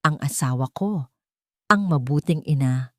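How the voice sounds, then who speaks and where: A woman playing a menacing drunk husband in an audio drama, sneering and loud with bitterness. His lines sit in a lowish, dry voice close to the mic. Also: measured pace, dry recording. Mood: bitter. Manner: shouting and sneering.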